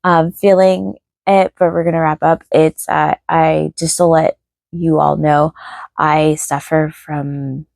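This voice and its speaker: Female vlogger, chatty and apologetic, gravelly fry in a deep register, voice wearing thin with fatigue. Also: little pitch movement, slow, darkish tone, no echo. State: voice starting to go hoarse; tired, low energy. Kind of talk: casual rambling chat to camera.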